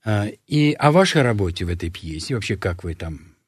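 A man's voice: fairly low pitch, fairly quick, husky, no room sound. A male talk show host asking long, admiring questions of his guest. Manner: lively, praising, asks long meandering questions. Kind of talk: TV interview.

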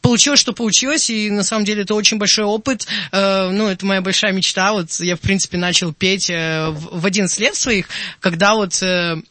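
A man's voice: high voice, steady tone, brisk, bright, forceful tone, no echo. A young singer-songwriter man from Azerbaijan, a guest on a radio show, talking in an upbeat, eager way in a studio. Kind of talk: casual studio interview. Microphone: studio microphone.

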